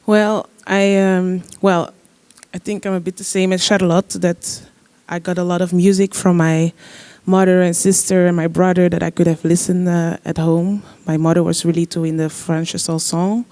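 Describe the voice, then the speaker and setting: A young man, a trombone player, answering on a discussion panel in a searching, halting way with many fillers. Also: sits high, flat delivery, mellow, clear, resonant tone, no echo. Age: young adult. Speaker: musician guest, trombone player.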